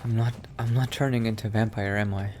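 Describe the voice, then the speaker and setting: A man playing an anxious young mage apprentice in a video game roleplay, talking haltingly and repeating himself. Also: on the low side, fairly even intonation, a little mellow, a little hiss or hum, lightly acted. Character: anxious young male mage apprentice fearing he is becoming a vampire.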